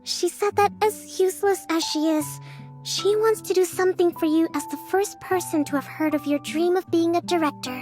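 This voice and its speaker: A woman's voice: extremely high pitch, steady tone, moderate pace, darkish tone, soft background music. A woman voicing an eager, polite, imaginative little girl in a game cutscene. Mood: polite, sincere. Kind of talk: animated game cutscene acting.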